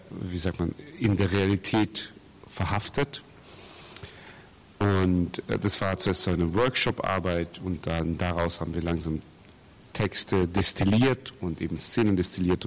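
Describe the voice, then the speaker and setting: A man from Russia who grew up in Austria, a theatre maker giving reflective, explanatory interview answers and searching for his words. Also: low-pitched. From Russia, raised in Austria.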